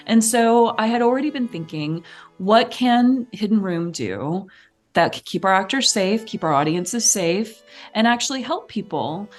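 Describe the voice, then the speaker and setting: A woman talks as a podcast guest, her voice on the lower side. She is chatty and expressive, telling things anecdotally with self-deprecating humor. There is faint music in the recording. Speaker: podcast guest, theater artistic director. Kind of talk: casual, anecdotal chat.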